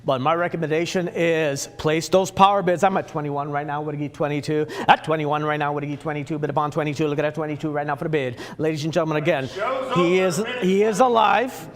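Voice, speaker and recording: Fast-chanting Swedish-accented male auctioneer. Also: a little high, fairly even intonation. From Sweden. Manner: repetitive rhythmic bid chant. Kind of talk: rapid auction calling.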